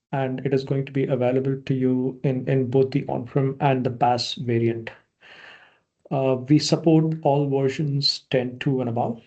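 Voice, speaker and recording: Gravelly, mellow-voiced man presenting. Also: medium pitch, muffled recording. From India. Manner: relaxed, with many uh fillers and restarts. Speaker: product manager presenting. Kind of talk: online conference presentation. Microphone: video call.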